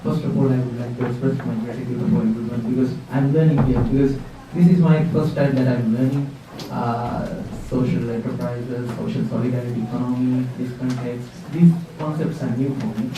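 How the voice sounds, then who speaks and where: A man's voice: medium pitch, fairly even intonation, fairly quick, hardly any pauses, noisy background. A man from Sri Lanka introducing himself at a workshop, modest and earnest as he asks his question. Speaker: workshop participant. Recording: distant dull mic in an echoey room.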